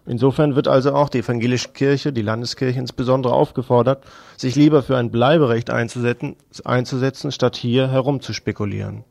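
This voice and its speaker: Creaky-voiced man reading a news report. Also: medium pitch, fairly even intonation, a little fast, a little mellow, no room sound. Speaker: radio news presenter.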